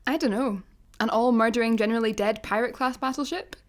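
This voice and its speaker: A woman's voice: fairly high pitch, fast, close to an everyday voice. A woman playing a practical, matter-of-fact space fugitive in a sci-fi comedy radio play. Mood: brisk.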